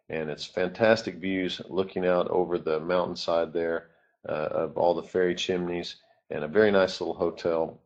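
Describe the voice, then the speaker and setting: Low-voiced man pausing on "uh" fillers. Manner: steady, frequent "uh" fillers. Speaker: tour presenter. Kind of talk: narrated tour itinerary presentation. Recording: dull lo-fi recording.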